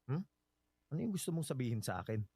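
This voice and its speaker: A man's voice: mid-range voice, very animated, fast, mellow, slightly rough, no room sound. A man with a Filipino accent playing a devoted suitor in love in a romantic radio drama, earnest and emotive. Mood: friendly, casual.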